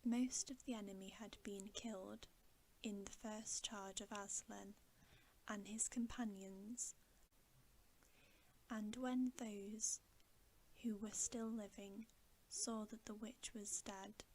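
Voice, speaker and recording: A woman reads a bedtime story in a soft, breathy voice that often drops into a whisper. Her delivery is hushed and soothing, at a very slow pace and an average pitch. There is faint noise behind her ASMR reading. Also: steady tone, rough recording. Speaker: ASMR host reading aloud.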